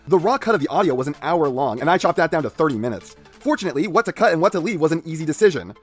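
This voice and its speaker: A man's voice: fairly high pitch, very animated, very fast, faint music behind. A man co-hosting in a casual, bantering style, sarcastic and incredulous, with some swearing. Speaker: co-host. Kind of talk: casual banter and comic fanfic commentary.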